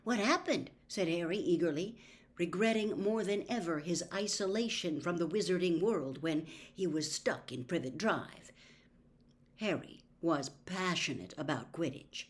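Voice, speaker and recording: A woman reading a story aloud in an expressive narrating style. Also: on the low side, a little slow. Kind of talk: expressive audiobook-style narration with character voices.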